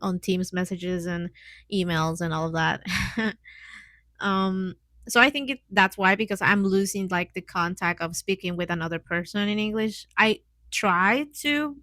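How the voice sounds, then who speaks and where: Casting: woman, mid 20s, Mexican accent, native Spanish speaker. Informal podcast host, hesitant and giggly phrasing, medium pitch, dry sound. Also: a little slow, a bit bright, dry recording. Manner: hesitant learner's English with frequent little giggles. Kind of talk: casual solo podcast talk.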